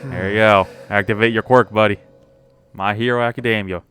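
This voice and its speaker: A man's voice: fairly low pitch, fairly even intonation, a little fast, a bit bright, clear, resonant tone, no room sound, soft background music. A man giving casual, offhand commentary while playing a video game. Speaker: gaming streamer. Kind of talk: casual live gameplay commentary.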